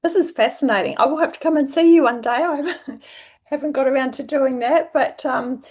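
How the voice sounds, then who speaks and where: Woman, podcast host, high pitch, curious, encouraging, casual interview chat. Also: moderate pace, a little mellow.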